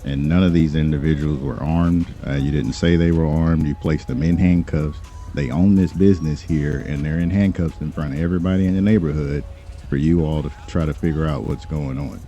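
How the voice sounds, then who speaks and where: A man roleplaying an assertive attorney, speaking in a stern, deliberate and condescending way. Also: rumbling low, steady tone, dark tone, lo-fi sound. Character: assertive, condescending male attorney confronting police. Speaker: roleplay streamer playing a lawyer. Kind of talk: in-character improvised game roleplay, formal argumentative talk.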